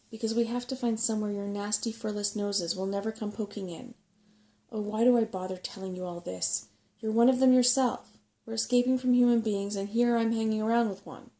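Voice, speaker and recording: A woman voicing a crabby, sharp-tongued female brownie from a storybook, complaining and scornful. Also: a little high, natural voice, poor audio. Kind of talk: storybook character voice in a soft-spoken reading.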